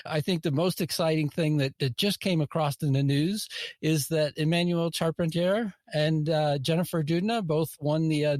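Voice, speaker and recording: A man's voice: a little high, a little mellow, dry recording, clean studio sound. A man hosting a podcast, asking questions with hesitant fillers and restarts. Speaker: podcast host. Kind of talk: interview questions.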